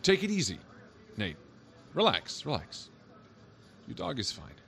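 An actor playing a skeptical, wry police officer in an audio drama, questioning in a casual, doubting, placating way. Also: male voice, medium pitch, huge pitch swings, a little slow, creaky, fairly bright tone, exaggerated voice. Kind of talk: audio drama acting, naturalistic.

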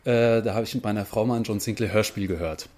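A man's voice: on the low side, fast. A man, a stage actor, talking casually as a guest in an interview.

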